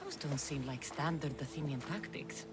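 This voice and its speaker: A woman's voice: low-pitched, fairly even intonation, moderate pace, lightly acted. An actress playing a bold, wry, blunt female mercenary in a video game cutscene. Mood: wry, businesslike.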